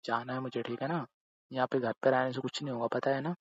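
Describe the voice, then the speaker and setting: A man with a husky voice chatting casually and intimately, teasing and insistent, often pushing back with questions. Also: mid-range voice, steady tone, fast talker, no room sound. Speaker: husband. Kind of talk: intimate casual chat, playful bickering. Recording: dull lo-fi call-style recording.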